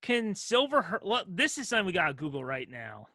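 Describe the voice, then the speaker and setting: Bright, lively woman improvising on a podcast. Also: lowish voice, brisk, dry recording, clean studio sound. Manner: playful, improvising. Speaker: podcast co-host. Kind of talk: casual comedic chat.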